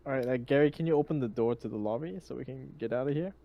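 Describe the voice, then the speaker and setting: A man's voice: medium pitch, fast talker, vocal fry, dull audio. A man talking casually as a player in a tabletop roleplaying game.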